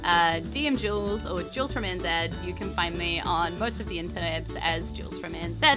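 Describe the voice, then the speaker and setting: A woman's voice: fairly low pitch, fairly quick. A woman with a New Zealand accent hosting a podcast in a chatty, giggly way, as its dungeon master. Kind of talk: casual podcast outro chat. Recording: music behind the voice.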